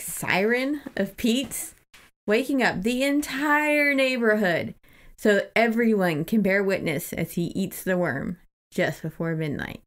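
A woman co-hosting a podcast, casually recapping a book in an informal, amused way. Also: medium pitch. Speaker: podcast co-host.

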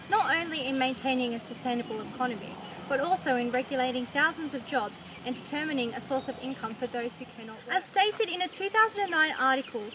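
A young woman, a university student, presenting a scripted report outdoors, read formally to a camera a few metres away. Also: high voice. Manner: reads a prepared script in a formal, even way. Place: outdoors by a rural paddock. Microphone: camera mic from a few metres away. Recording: loud outdoor ambient noise.

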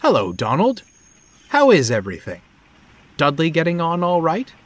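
A man's voice: medium pitch, sing-song, measured pace, fairly bright tone, slightly rough, no echo, full character voice. A man voicing a kindly, experienced steam engine with a friendly but authoritative manner in a children's story. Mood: warm, cheerful.

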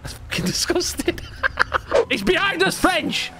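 A cheeky, sweary male streamer plays a roleplay game in a high voice. His pitch leaps about wildly as he teases, with loud music and vehicle sounds behind him. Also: huge pitch swings, slow pace. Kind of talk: excitable banter during online game roleplay.

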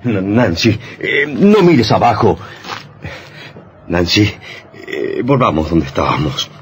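An uneasy husband, wildly swinging pitch. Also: male voice, big pitch swings, takes it slowly. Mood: nervous, pleading. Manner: pleading, melodramatic. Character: young husband, placating, uneasy, evasive with his wife. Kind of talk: radio horror drama acting. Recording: noisy old radio drama recording.

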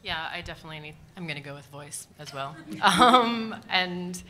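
A woman's voice: fairly low pitch, lively intonation, moderate pace, bright, forceful tone, a little hiss or hum. A woman book editor speaking on a conference panel into a table microphone, enthusiastic and full of "um" fillers. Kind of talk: panel pitch and discussion. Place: large conference hall.

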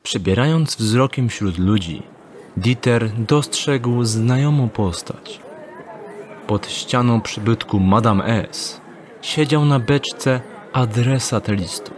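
A man narrating an audio drama in an even storytelling tone. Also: mid-range voice, slow pace.

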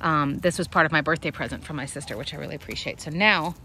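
A woman's voice: fast, sharp tone, a little hiss or hum. Chatty mom filming her backyard hens. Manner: chatty, explains while calling hens in a high sing-song. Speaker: backyard chicken vlogger. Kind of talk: casual outdoor show-and-tell. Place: backyard chicken run outdoors. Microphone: handheld phone camera.

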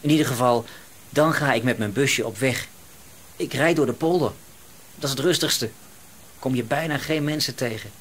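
An actor playing an eccentric, misanthropic middle-aged shopkeeper in a radio drama, musing to himself in a rambling, gloating way. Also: male voice, mid-range voice, a bit bright, lightly acted.

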